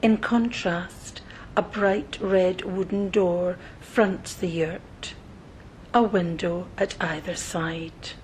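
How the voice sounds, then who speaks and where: A woman narrating a scripted guided meditation in a soothing, measured manner, pausing between short phrases, with soft breathy phrase endings. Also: fairly even intonation, low-quality recording. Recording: noticeable low rumbling background noise.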